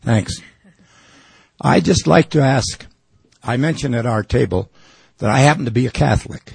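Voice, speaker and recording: A man from the audience asking a halting, rambling question at a public forum. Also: mid-range voice, gravelly, a little mellow, slightly rough, dry recording. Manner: halting, rambling, with many fillers.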